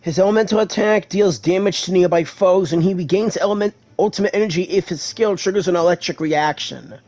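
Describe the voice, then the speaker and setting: A gaming video host giving casual gameplay commentary, given to excitable exclamations. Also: mid-range voice, a little fast.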